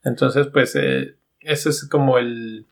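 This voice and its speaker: Male podcast host, Mexican accent, mid-pitched, informal, halting film-review chat. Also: sing-song. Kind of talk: casual conversational film review.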